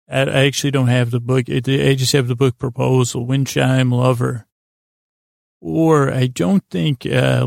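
A man hosting a bedtime podcast in a gravelly, creaky voice, meandering and halting, often doubling back on himself. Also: mid-range voice, darkish tone, no room sound, clean studio sound. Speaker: podcast host. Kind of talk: rambling bedtime sleep podcast monologue.

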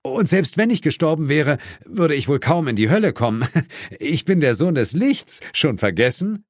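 Man as indignant, cocky ghost hunter, raised pitch. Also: sing-song, normal speed, no echo, clean studio sound. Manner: flustered, protesting, wry. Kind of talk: horror-comedy audiobook character voice.

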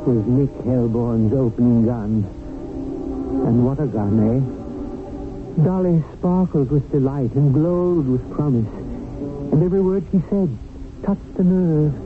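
Slow wry storyteller, an actor over loud music. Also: male voice, medium pitch, close to an everyday voice. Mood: wry, indignant. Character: wry, theatrical storyteller, competitive and indignant. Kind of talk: radio drama narration. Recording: tinny band-limited old radio broadcast.

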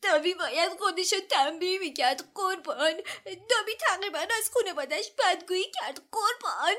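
A woman voicing an excitable, tearful male house-elf who sobs and grovels in a storybook reading. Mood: servile. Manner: squeaky, sobbing, servile, calls everyone sir. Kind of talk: audiobook character voice.

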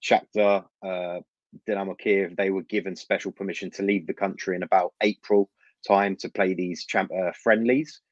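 Deep-voiced London man, dull dry call audio. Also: steady tone, no room sound. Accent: London English. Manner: measured, explanatory, with soft laughs and fillers. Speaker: football journalist guest. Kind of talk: casual interview chat.

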